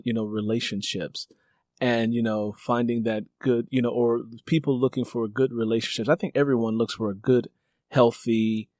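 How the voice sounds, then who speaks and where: A man's voice: mid-range voice, darkish tone, no echo, clean studio sound. A man hosting a conversational interview, talking discursively as he builds up to his questions. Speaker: interview host.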